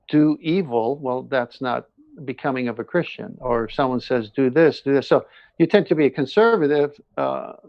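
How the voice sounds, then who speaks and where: A man's voice: medium pitch, no room sound, dull audio. A man talking casually and at length, earnest and emphatic, often repeating his phrases. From born in Iran, moved to the United States at seven. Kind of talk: casual conversation, personal testimony and opinion.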